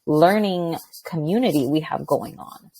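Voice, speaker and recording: A woman guest who is a high school teacher, chatting loudly and animatedly in a casual interview. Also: unhurried, vocal fry, husky, dry recording. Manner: loud, chatty, animated, many "like" fillers.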